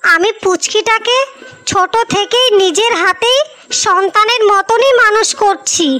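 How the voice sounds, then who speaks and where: Woman playing very high, earnest young sister. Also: a little slow, pushed and bright, heavily acted. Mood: pleading. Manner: earnest, pleading, emphatic. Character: devoted, stubborn orphaned young elder sister, working as maid. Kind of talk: Bengali cartoon story dialogue. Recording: music behind the voice.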